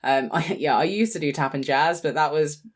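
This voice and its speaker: A woman's voice: deep voice, normal speed. A British woman in her thirties talking as a podcast co-host in a casual, informal and self-deprecating way. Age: 30s. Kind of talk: casual chat.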